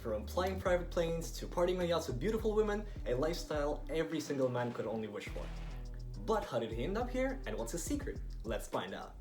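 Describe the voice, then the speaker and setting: Young man, from Estonia, rapid speech, earnest emphatic motivational narration, music underneath. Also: fairly high pitch, big pitch swings, a little mellow. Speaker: video creator narrating. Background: background music.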